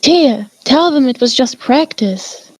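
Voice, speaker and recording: A woman's voice: high-pitched, lively intonation, slow, no room sound, exaggerated voice. A woman voicing a haughty, wrathful pony princess of the night in a fan fiction reading. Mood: pleading, flustered.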